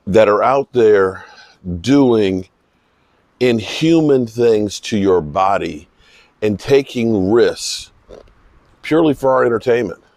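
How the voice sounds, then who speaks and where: A man with a slightly hoarse voice giving unscripted, emphatic sports commentary, pausing mid-sentence for emphasis. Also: lowish voice, darkish tone, no echo. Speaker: football vlogger giving post-game reaction. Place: outdoors in misty rain.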